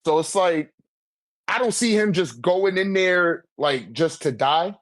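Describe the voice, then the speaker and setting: A man's voice: high-pitched, lively intonation, measured pace, no echo. An American man chatting casually in a fan debate, swearing freely and leaning on slang and filler phrases. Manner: slangy, profane, repeats "like" and "you know what I'm saying".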